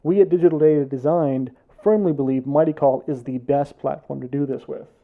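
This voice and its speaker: A man's voice: a little high, steady tone, brisk, narrow, tinny audio. An American man presenting a product explainer as scripted voice-over narration for a tech consultancy. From United States.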